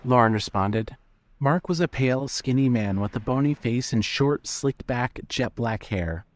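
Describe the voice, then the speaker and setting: A man's voice: mid-range voice, animated, normal speed, dry recording. A man giving scripted narration of a fiction story.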